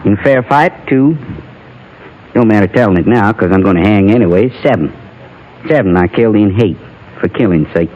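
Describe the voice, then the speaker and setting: A male actor plays a condemned outlaw of the American West, bitter and wistful. The voice is low, with a rustic drawl and unschooled grammar. It comes through a muffled old radio western recording. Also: lively intonation, moderate pace, long pauses, darkish tone, lightly acted. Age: 30s. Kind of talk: 1950s radio western acting. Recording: dull lo-fi vintage radio recording.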